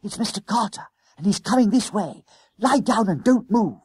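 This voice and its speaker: A man voicing a bossy, excitable schoolboy ringleader in an audiobook, speaking in urgent, conspiratorial bursts with old-fashioned slang. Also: sits high, moderate pace, husky, dry recording, voice pushed up, full character voice. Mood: alarmed, urgent.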